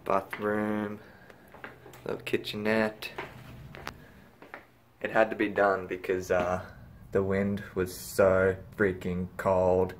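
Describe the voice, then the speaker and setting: A man's voice: deep voice, completely flat delivery, slow, pauses often, slight background noise. A young man, chatting casually to a handheld camera on a desert road trip, laid-back and jokey. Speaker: travel vlogger. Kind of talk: casual travel vlog chat. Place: outdoors in desert, car and motel room. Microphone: handheld camera at arm's length.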